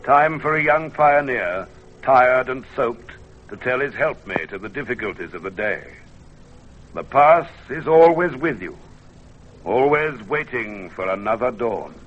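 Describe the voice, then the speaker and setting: An actor narrating an old-time radio drama as a reflective storyteller, in a measured, dramatic way. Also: male voice, medium pitch, lively intonation, slight background noise, lightly acted. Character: reflective male storyteller of a pioneer tale. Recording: dull vintage radio recording. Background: music.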